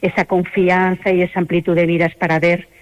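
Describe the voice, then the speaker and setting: A woman's voice: on the low side, flat delivery, a little fast, muffled recording. A woman in her fifties from Madrid, a rural development worker talking as a radio guest, hesitant with many fillers and little laughs. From Madrid, Spain.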